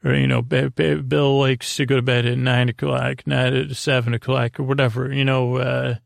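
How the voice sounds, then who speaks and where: A middle-aged man with a gravelly, creaky voice, hosting a bedtime podcast in a meandering, halting monologue that keeps interrupting itself. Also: mid-range voice, flat delivery, no room sound, studio quality. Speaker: podcast host.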